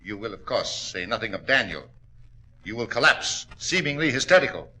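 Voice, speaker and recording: An actor playing a cold, scheming murderous miner with deliberate, smooth menace in 1940s radio style. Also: male voice, on the low side, big pitch swings, pushed and bright, full character voice. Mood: coldly dictating. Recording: vintage 1940s radio recording.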